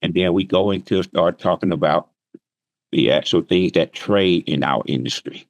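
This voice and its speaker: A man's voice: low-pitched, moderate pace, dry recording. A man teaching trading, explaining plainly with everyday examples on a remote call. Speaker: trading instructor. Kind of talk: casual interview answers.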